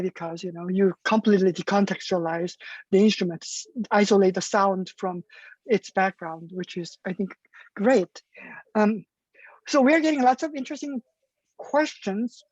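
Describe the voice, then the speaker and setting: A woman's voice: medium pitch, a little mellow, no echo, lo-fi sound. A woman hosting a music symposium, asking questions in an enthusiastic, articulate way with some fillers. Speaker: symposium host, interviewer. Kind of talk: moderating an online talk.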